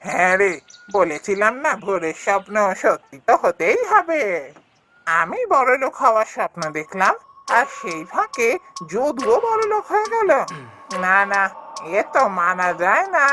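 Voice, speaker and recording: নারী কণ্ঠে চড়া গলার লোভী, ফন্দিবাজ কাঠুরে. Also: female voice, fairly high pitch, big pitch swings, a little slow, pushed and bright, slight background noise, heavily acted. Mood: greedy, scheming. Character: scheming, greedy male woodcutter, outwardly friendly. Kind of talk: children's fairy-tale cartoon acting.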